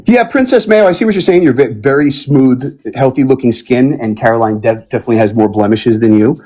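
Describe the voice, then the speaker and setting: A man hosting a casual live-streamed panel debate, animated and given to loud exclamations of disbelief. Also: medium pitch, a little fast. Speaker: panel host. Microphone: phone or computer mic on a live stream.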